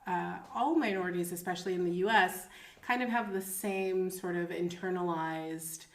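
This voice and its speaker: A woman co-hosting a casual chat, telling stories in an animated way and laughing often. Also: fairly low pitch, moderate pace. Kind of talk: casual conversation. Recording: roomy echo.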